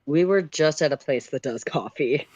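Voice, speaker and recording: A woman co-hosting a livestream, reading fan fiction and chatting in an expressive, playful way. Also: low voice, normal speed, dry recording. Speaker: co-host and story reader. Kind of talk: fan fiction narration and casual livestream chat.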